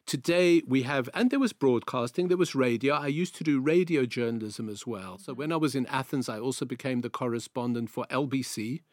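A man in his sixties from South Africa with a South African accent, telling his career story as a guest in an interview, in a measured, anecdotal way. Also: mid-range voice, a little mellow, no echo. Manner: measured, anecdotal, repeats phrases. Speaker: guest, veteran journalist.

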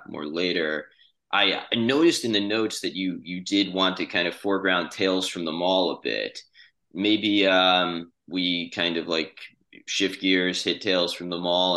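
A man with a low-pitched voice that still has a bright, forceful edge. He co-hosts a casual interview, picking his phrases in a halting way. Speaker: podcast co-host.

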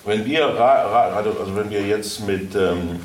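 A man giving informal answers as an audio drama producer, haltingly and searching for his words. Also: lowish voice, darkish tone. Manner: halting, searching for words, many false starts. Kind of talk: informal question-and-answer talk. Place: indoor convention hall, live event. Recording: echoey, noisy room, far from mic.

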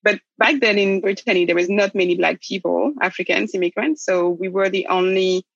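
A woman, a podcast guest thoughtfully telling her life story, pausing and restarting her sentences. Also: mid-range voice, fairly even intonation, vocal fry, dry recording, highs cut off. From Republic of the Congo, raised in France. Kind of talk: reflective personal storytelling.

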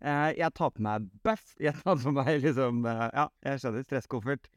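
A man's voice: medium pitch, dry recording, studio quality. A man co-hosting a podcast, chatting casually and humorously in a teasing way with broken-off phrases. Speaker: podcast co-host.

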